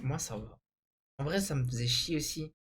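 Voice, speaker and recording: A man's voice: mid-range voice, normal speed, fairly bright tone, slight background noise. A young man casually hosting a video, bantering and ranking things in a slangy, opinionated, emphatic way. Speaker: video host. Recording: music behind the voice. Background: music.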